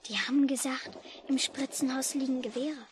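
A chatty young child, played by a woman, speaks in a high, childlike voice with blunt curiosity. It is 1950s radio drama acting, on an old mono broadcast recording with some faint noise. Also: steady tone, moderate pace, natural voice. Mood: worried, curious.

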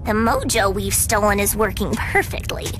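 A woman's voice: mid-range voice, big pitch swings, moderate pace, a bit bright, a little hiss or hum. A woman voicing a bossy, sarcastic teenage girl villain in a video game.

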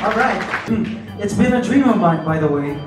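A man's voice: high-pitched, animated, normal speed. A man in his thirties from Canada, performing and chatting playfully with a party crowd on stage. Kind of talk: emceeing and singing at a party. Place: large indoor event hall with a crowd. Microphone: handheld mic, filmed from the back of the hall. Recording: echoey hall from far off with loud music.

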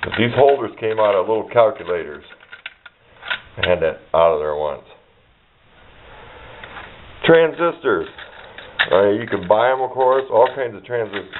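A man's voice: lowish voice, animated, measured pace, pauses often, slight background noise. A middle-aged man chatting casually and unscripted as he shows his hobby collection, in a folksy, plainspoken, rambling way. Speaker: hobbyist showing his radio parts collection. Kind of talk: casual unscripted show-and-tell. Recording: dull lo-fi camera microphone.

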